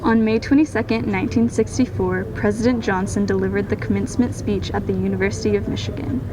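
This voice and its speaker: Female newsreel narrator, mid-pitched, scripted read, recorded with clear background noise. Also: fairly even intonation, quick pace.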